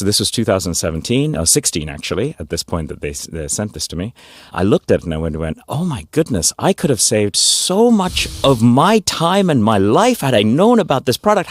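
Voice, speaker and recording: Deep-voiced talkative man, dry sound. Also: no echo. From Canada. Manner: talkative, rambling with restarts. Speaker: guest, technology executive. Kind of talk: conversational interview storytelling.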